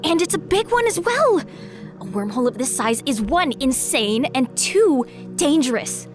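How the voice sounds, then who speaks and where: Eager, bookish female robot researcher for audio drama, played by a woman; high pitch, swooping, excitable delivery. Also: moderate pace, soft background music. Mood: urgent, excited. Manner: excitable, informal.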